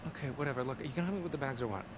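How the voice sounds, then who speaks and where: A man's voice: mid-range voice, very fast, lightly acted. An actor playing a sarcastic, exasperated young male camp counselor in a video game. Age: young adult. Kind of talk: cinematic video game dialogue. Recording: game audio with ambient noise.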